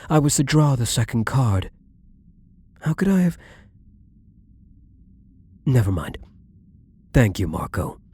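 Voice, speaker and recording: A man playing a wealthy gambler, possessive and lovestruck, adoring yet commanding, in an intimate, breathy ASMR romance roleplay that often drops toward a whisper. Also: mid-range voice, mellow, full character voice. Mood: irritated, surprised.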